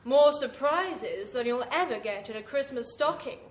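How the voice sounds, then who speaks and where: Actress as beguiling horror radio hostess, high voice, lively swoops, theatrical teasing phrasing, gleefully macabre, echoey stage. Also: female voice, moderate pace. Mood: gleeful, seductive. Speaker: host. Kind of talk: 1940s horror radio acting. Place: small theatre before an audience.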